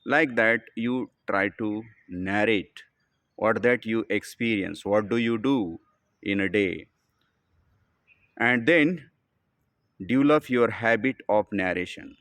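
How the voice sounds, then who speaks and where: A man with an Indian accent giving a spoken English lesson. He talks in slow, simple, deliberate sentences and often calls the listener "my dear friend.". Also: on the low side, fairly bright tone, dry recording. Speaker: English teacher.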